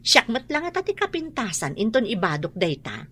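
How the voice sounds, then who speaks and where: A woman's voice: on the high side, very animated, normal speed, a bit bright, slightly rough, heavily acted. An actress playing a vain, boastful young mother in an Ilocano radio drama. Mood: proud, delighted. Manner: boastful, haughty.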